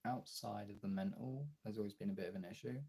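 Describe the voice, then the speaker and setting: A young man with a husky voice chatting casually and informally as a livestreamer, replying to his viewers as he goes. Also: fairly low pitch, steady tone, fast, warm tone. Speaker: streamer and video creator.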